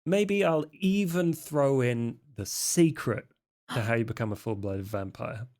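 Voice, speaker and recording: A man acts out a sly vampire wheeler-dealer for a roleplay podcast. His mid-range voice feels its way through slangy, wry sales patter, stopping and starting as he pitches. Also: a little slow, darkish tone, lightly acted. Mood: wry, tempting. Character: sly, slangy male vampire hustler named Sean. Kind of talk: improvised tabletop roleplay.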